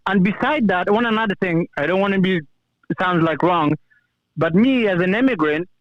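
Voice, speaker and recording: High-voiced Afghan-accented man on a dull call. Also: moderate pace, dry recording. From Kabul, Afghanistan. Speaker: call-in guest, former police officer. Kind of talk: personal account in conversation.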